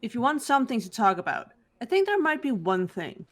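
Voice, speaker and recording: Woman voicing a composed teen girl sleuth for a fan game dub; fairly high, measured voice. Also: soft background music. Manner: calm, measured. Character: calm, analytical teenage girl detective.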